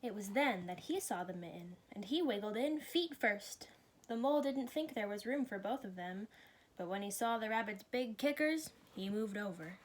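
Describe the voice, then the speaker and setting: A woman reading a children's story aloud with expressive, clearly phrased delivery. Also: mid-range voice, moderate pace. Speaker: storybook reader.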